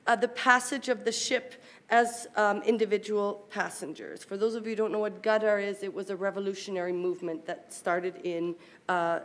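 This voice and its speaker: A woman academic presenter reads a formal conference paper aloud in a measured, scholarly way, with brief explanatory asides. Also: medium pitch.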